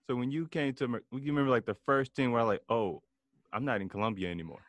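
A man's voice: mid-range voice, fast talker, no room sound. Laid-back male podcast interviewer, dry sound. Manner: laid-back, asks short casual questions.

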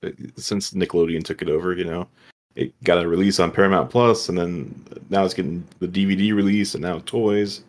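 A man's voice: low-pitched, fairly even intonation, brisk. A man chatting on a podcast in a laid-back way, often chiming in with short agreement. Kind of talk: casual chat.

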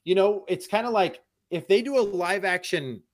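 A man's voice: sits high, normal speed. A man chatting casually on a group podcast, thinking aloud as he goes with some hesitation. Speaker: podcast panelist. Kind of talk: casual group chat about pop culture.

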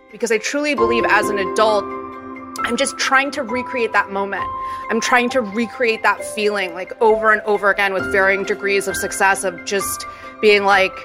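A woman from Indonesia, a comedian and storyteller, telling stories from her childhood in a bubbly, wry, self-deprecating way. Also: mid-range voice. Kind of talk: storytelling interview.

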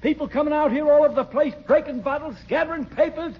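An actor playing a cranky old superintendent who scolds and exclaims in 1940s radio comedy style. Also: male voice, extremely high pitch, fast. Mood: cranky, grumbling. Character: cranky old waterworks superintendent, a grumbling woman-hater. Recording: dull old radio recording.

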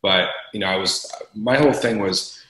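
A young man from Los Angeles talks in a very deep voice with a bright, forceful edge. He chats casually as an interview guest, laughing often and leaning on fillers. The room sounds echoey around him. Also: moderate pace, slight background noise. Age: young adult. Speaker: entrepreneur guest.

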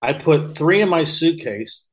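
A man's voice: on the high side, expressive, measured pace, rough recording. A man in his fifties with an American accent, talking casually as an interview guest and rambling through personal stories with frequent self-corrections. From Missouri, United States. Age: 50s. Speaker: podcast guest, real estate investor. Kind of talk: casual anecdotal interview talk.

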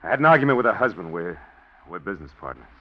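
An actor playing a nervous embezzler confessing to murder, halting and stammering, in an old radio drama. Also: male voice, low-pitched, big pitch swings. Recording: dull old radio broadcast.

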